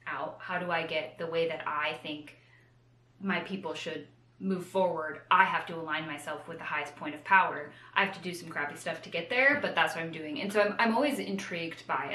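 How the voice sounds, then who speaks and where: Bright-voiced woman chatting casually, roomy echo. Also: fairly low pitch, slight background noise. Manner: animated, self-deprecating, rambling asides with acted-out quotes. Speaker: co-host of a book discussion show. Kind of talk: casual unscripted book chat.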